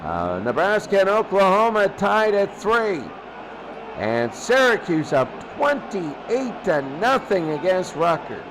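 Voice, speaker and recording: A male sports announcer calls football play by play in a high-pitched voice at an unhurried pace. He speaks in short, clipped phrases and repeats them for emphasis. Loud background noise rumbles behind him. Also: sing-song. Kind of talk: American football play-by-play commentary.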